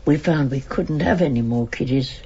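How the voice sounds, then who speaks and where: An actress playing an elderly working-class mother, wistful and devoted, speaking deliberately in a naturalistic radio drama. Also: rumbling low, warm tone, husky, highs cut off. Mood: tender, grieving. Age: older.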